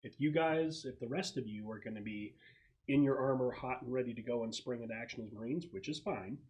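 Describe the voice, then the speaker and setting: A man's voice: medium pitch, fairly quick, a little mellow. A man explaining and narrating casually as game master of a tabletop roleplaying session. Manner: explanatory, conversational.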